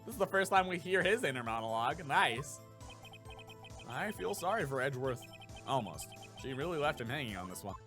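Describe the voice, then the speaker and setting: Animated man casually commenting on a game. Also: medium pitch, brisk, over music. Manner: musing, thinking aloud. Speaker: gaming video host and voice actor.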